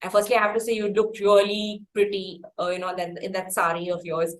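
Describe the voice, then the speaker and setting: An Indian woman hosting a casual chat interview, speaking effusively in long, halting, wordy phrases. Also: medium pitch, monotone. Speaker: podcast host interviewing a singer. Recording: roomy echo, faint background noise.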